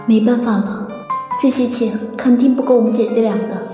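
A woman acting a hardworking, tired teenage older sister in an audio drama, gentle and earnest. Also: a little high, steady tone, fairly quick, faint music behind, lightly acted. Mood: weary, worried.

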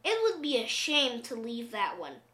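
A woman playing a very old man in a storybook, a gentle, eager, simple-hearted husband speaking earnestly. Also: fairly high pitch, wildly swooping pitch, normal speed.

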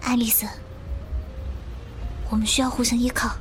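A woman's voice: fairly high pitch, level tone, moderate pace, soft background music, close to an everyday voice. An actress playing an earnest young woman surviving a zombie outbreak in a radio drama. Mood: earnest, worried. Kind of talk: dubbed Chinese radio drama acting.

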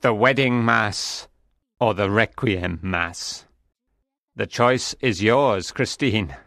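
A man's voice: fairly low pitch, slow, vocal fry, a bit bright, no echo, studio quality, exaggerated voice. A man voicing a menacing, lovesick madman in audiobook dialogue. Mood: demanding. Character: menacing, lovesick madman, pleading then angry.

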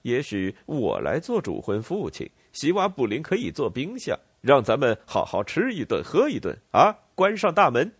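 A man's voice: medium pitch, huge pitch swings, a little slow, breathy edge, no echo, full character voice. A man voicing a bluff, commanding rebel leader who poses as emperor in a story, with a swaggering, emphatic delivery. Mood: jovial.

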